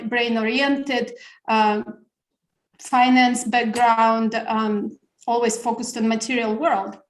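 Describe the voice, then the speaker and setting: A middle-aged woman from Russia, a Russian native speaker, talking thoughtfully as a guest on a video call, pausing and restarting words. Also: a little high. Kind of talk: reflective interview conversation. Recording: roomy echo.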